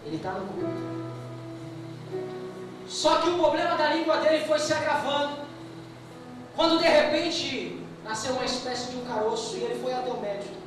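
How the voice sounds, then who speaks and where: A Brazilian man preaches in a high-pitched voice, his sermon full of theatrical storytelling and appeals to the congregation. The sound is heavily echoing and distant, as through a church PA, with music clearly playing behind him. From Brazil, family from the Northeast. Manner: theatrical, calls on the congregation to respond. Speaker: evangelist preacher. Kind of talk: Pentecostal sermon with dramatic storytelling. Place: church hall with PA.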